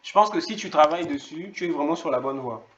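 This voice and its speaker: A man's voice: fairly high pitch, animated, fast. Male speaking coach, directive and encouraging, slightly muffled echoey recording with faint noise. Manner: directive, encouraging, repeats words. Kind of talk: coaching a class.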